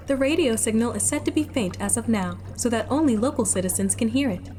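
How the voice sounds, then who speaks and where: A woman's voice: a little high, animated, brisk, mellow, slight background noise, close to an everyday voice. A woman voicing a polite, helpful female android companion who speaks in formal, precise phrases. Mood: calm. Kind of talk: audio drama acting.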